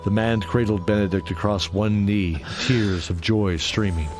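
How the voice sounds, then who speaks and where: A man narrating a scripted audio drama as storyteller, in a deliberate, dramatic manner. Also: on the low side. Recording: music behind the voice.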